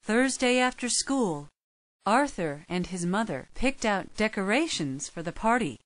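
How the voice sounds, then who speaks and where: Female narrator for a children's story, measured and slow with animated intonation. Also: mid-range voice, dry recording.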